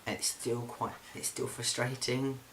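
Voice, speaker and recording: A young man records a casual video diary at home, speaking in a mid-pitched, fairly monotone voice. He talks in a loose, halting way, filling gaps with ums and restarting his sentences. A faint hiss sits under the recording. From England. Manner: chatty, frequent ums and restarts. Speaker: vlogger. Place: flat, indoors.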